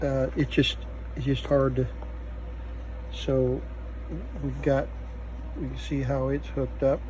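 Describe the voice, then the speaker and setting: Mellow, slow-talking man muttering through repairs. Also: mid-range voice, flat delivery, rough recording. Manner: halting, muttering thoughts aloud while working. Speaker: vintage electronics repair video host. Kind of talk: unscripted hands-on repair commentary. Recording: faint background noise.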